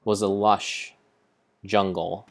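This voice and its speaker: Young adult man, very low pitch, mock-grand storybook narration, deliberate halting delivery, game streamer. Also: level tone. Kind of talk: improvised dramatic storybook narration of gameplay. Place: indoor room at home.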